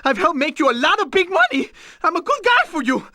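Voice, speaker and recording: A man's voice: extremely high pitch, very animated, a little fast, pushed and bright, no echo, studio quality, heavily acted. An actor playing a frightened male gang lackey who begs his boss for help and mixes in Spanish words. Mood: desperate. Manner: pleading, panicky, Spanish words mixed in.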